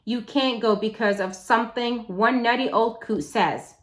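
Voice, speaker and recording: A woman's voice: on the high side, a little slow, lightly acted. A woman voicing a feisty, bossy young girl from a storybook, insistent and sarcastic as she pleads. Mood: pleading, dismissive.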